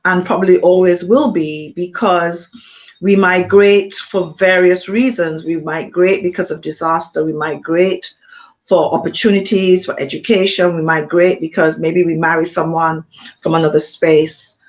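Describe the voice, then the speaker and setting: A woman from Montserrat with a Montserratian accent, an author being interviewed, speaking thoughtfully with frequent pauses and ums. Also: fairly low pitch, fairly even intonation. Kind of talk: reflective conversation.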